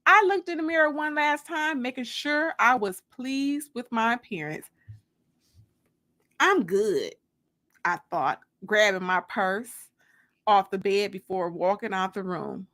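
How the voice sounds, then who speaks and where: A woman's voice: mid-range voice, unhurried, bright, forceful tone. A woman reading her own novel aloud as a dramatic first-person audiobook narration.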